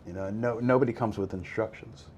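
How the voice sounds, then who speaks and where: Deep-voiced man hosting a faith talk. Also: a little fast, lo-fi sound, slight background noise. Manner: relaxed, reflective, many fillers. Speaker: podcast host. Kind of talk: faith-based recovery conversation.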